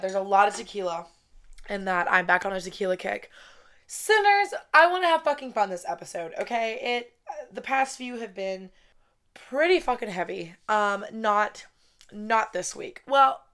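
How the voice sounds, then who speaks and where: A woman in her twenties hosting her own podcast, talking casually with a raspy voice after a weekend wedding, swearing often and saying "like" constantly. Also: medium pitch, sing-song, normal speed, fairly bright tone. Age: 20s. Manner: chatty, swears a lot, says "like" constantly. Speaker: podcast host. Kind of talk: casual solo podcast chat. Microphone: podcast microphone, close.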